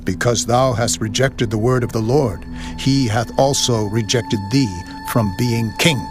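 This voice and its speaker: An actor playing a stern, unyielding old Hebrew prophet in dramatized scripture audio, speaking in a solemn, measured declamation. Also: male voice, medium pitch, steady tone, music in the background, lightly acted. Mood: stern rebuke.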